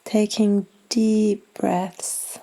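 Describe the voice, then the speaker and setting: A woman leading a guided yoga nidra meditation in a soft, gentle, unhurried way, with long pauses and phrases that trail toward breathiness. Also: medium pitch, little pitch movement, low-quality recording.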